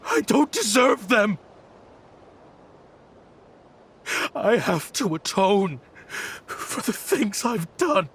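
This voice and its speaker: A man's voice: sits high, big pitch swings, slow, a bit bright, a little hiss or hum, full character voice. A man playing a bitter, guilt-ridden weapons researcher in a video game, with a grave, brooding, dramatic delivery. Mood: desperate.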